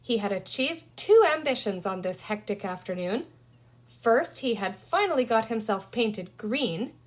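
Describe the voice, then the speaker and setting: A woman reading a children's story aloud in an expressive narrating style. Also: fairly high pitch, measured pace. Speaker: audiobook reader.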